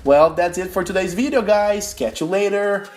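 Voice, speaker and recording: A man's voice: high-pitched, normal speed, slight background noise. A young man from Brazil with a Brazilian accent, a non-native English speaker explaining gameplay tips step by step in a tutorial voice-over. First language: Portuguese. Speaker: gaming tutorial host.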